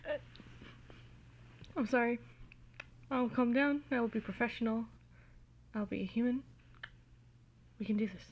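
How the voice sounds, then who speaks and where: A woman giving an amateur, theatrical and giggly dramatic reading of fan fiction, recorded in low quality on a phone. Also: unhurried, pauses often, lo-fi sound, slight background noise. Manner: giggly, theatrical, easily cracking up.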